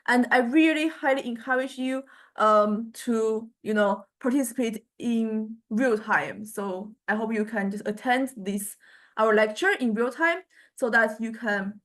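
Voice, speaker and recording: A female university lecturer talks to her class in an informal way. Her voice sits a little on the high side. She moves forward haltingly, often repeating words as she shapes each phrase. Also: a bit bright.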